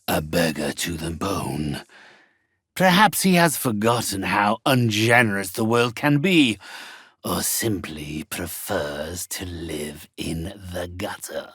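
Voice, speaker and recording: An actor playing a sinister, haughty undead necromancer in a video game, with a raspy voice and formal, drawn-out, menacingly polite delivery. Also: male voice, medium pitch, fairly bright tone, dry recording, studio quality, full character voice. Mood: mocking disdain. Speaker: spirit medium. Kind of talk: theatrical video game villain acting.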